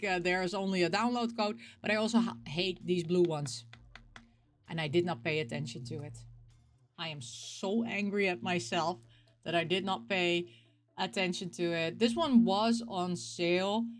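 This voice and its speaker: A woman hosting a casual video about games, talking in an expressive, rambling way and repeating words for emphasis. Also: medium pitch. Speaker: host of a game collecting show. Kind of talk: casual chat, showing game pick-ups.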